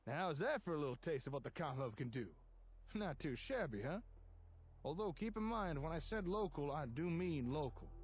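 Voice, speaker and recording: An actor playing an eager, friendly inventor in a video game, upbeat and conversational, with a husky voice. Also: male voice, a little high, animated, normal speed. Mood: proud, explaining. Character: eager, friendly male inventor showing off settlement tech.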